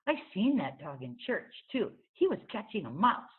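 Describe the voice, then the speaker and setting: A woman's voice: mid-range voice, very animated, normal speed, breathy edge, phone-quality sound. A woman voicing an earnest, chatty five-year-old girl in a storybook, with blunt childish rural grammar, on a thin recording. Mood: curious, earnest. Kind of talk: storybook read-aloud character.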